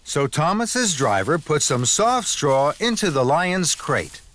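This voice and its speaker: Unhurried, expressive male children's storyteller. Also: a little high. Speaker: narrator. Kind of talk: scripted children's story narration.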